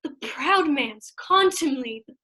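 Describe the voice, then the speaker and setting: An actress playing a woman who recites a tragic soliloquy in a film, brooding and measured. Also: sits high, lively intonation, sharp tone, exaggerated voice. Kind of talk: theatrical verse recitation.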